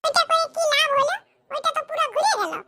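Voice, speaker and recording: An exasperated cartoon wife with a very shrill, sharp, pitch-shifted voice. She scolds sarcastically in a rural West Bengal accent, over a slightly noisy home-made recording. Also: fast. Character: fed-up scolding wife of a jobless drunkard. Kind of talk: comedy cartoon skit dialogue.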